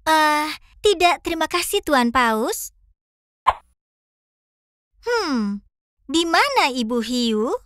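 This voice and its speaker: A woman voicing a cheerful, eager young fox in a children's cartoon, animated and childlike as it addresses viewers. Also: sits high, very slow, long pauses, no room sound, full character voice. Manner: animated, childlike, clear instructing.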